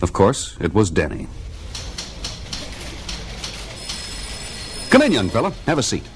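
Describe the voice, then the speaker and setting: A man's voice: on the low side, wildly swooping pitch, fast talker, noisy background. An actor playing a modest, earnest, fatherly superhero, narrating in the first person in an audio comic. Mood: genial. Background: music.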